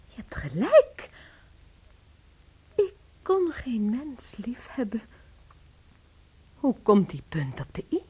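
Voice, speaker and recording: An actress in a Dutch radio play playing a lovestruck, stubborn mistress, dreamy, dramatic and rapturous. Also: female voice, fairly high pitch, wildly swooping pitch, slow pace, long pauses, dark tone, slightly rough, full character voice. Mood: awed, hushed.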